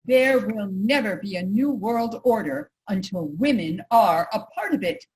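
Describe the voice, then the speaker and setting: A woman's voice: mid-range voice, expressive, a little slow, darkish tone, natural voice, poor audio. A woman playing a determined suffrage leader, speaking firmly in a reader's theater piece. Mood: resolute.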